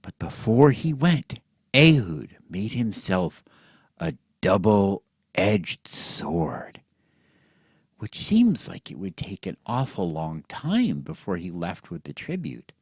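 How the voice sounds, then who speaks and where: A man telling a satirical bedtime story as if to children, in a theatrical, mock-earnest way with drawn-out words and hushed drops. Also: sits low, huge pitch swings, slightly rough, no room sound, clean studio sound.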